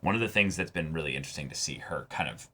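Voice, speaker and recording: A man co-hosting a reaction show, talking casually and thinking aloud in halting phrases as he discusses a show. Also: very deep voice, lively intonation, very noisy. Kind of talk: casual discussion of an anime episode.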